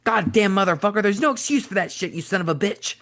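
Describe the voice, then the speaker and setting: A man's voice: high-pitched, animated, quick pace, fairly bright tone, voice pushed up. A man doing a furious cursing old Texan wrestler. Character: enraged burned old male wrestler, cursing.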